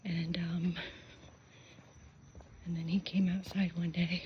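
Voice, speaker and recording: An older woman chatting casually on a morning walk through her neighborhood, gushing and often soft and whispery. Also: on the low side, little pitch movement, slow, highs cut off, a little hiss or hum. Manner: chatty, gushing, softly spoken with breathy whispery stretches. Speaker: vlogger. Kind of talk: casual walking vlog. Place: outdoors on a neighborhood street.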